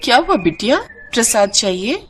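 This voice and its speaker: A woman voicing a kind temple helper in a moral story, gentle and reassuring. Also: fairly high pitch, big pitch swings, moderate pace, a little hiss or hum. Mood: kindly.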